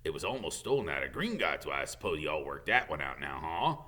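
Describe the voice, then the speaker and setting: A man acts out a big, gruff gamekeeper from a storybook. He is secretive and bumbling, mumbling through hesitations. The voice swings widely in pitch and has a bright, forceful edge. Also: big pitch swings, brisk, heavily acted. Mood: defensive. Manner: hesitant, mumbling with many uhs.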